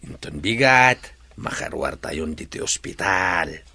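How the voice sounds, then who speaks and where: A man playing a jovial, doting old grandfather in an audio drama, with a jolly, halting delivery. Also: low-pitched, animated, bright, forceful tone, full character voice. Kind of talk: Ilocano audio drama acting.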